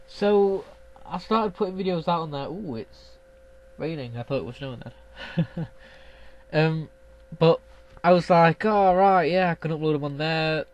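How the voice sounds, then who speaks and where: A man's voice: fairly high pitch, lo-fi sound. A young man with a stuffy cold, chatting casually and rambling with lots of ums as a gaming vlogger. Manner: rambling, many ums and restarts. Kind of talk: casual chat.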